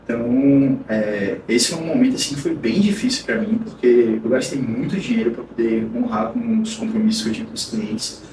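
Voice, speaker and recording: A man's voice: mid-range voice, expressive, fairly quick, few pauses. A man from Bahia, Brazil, a podcast guest, telling a long story frankly in a casual interview, often restarting his sentences. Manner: frank, rambling recounting with many restarts. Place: podcast studio. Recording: roomy, distant mic, noisy.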